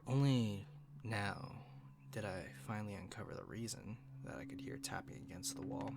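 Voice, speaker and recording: A man playing a weary young survivor narrating a reflective audio log, slow and halting, trailing into breathy murmurs. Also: fairly low pitch, background noise. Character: weary young male survivor recording a log in an abandoned city. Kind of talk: dramatic first-person audio log narration.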